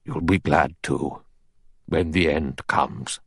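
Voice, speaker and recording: A man voicing an elderly retired general who speaks softly and gently, resigned and dreamily detached, in an audiobook. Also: a bass voice, creaky, husky, no room sound, studio quality, exaggerated voice. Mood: gentle resignation. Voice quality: soft. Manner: slow, gentle, faraway.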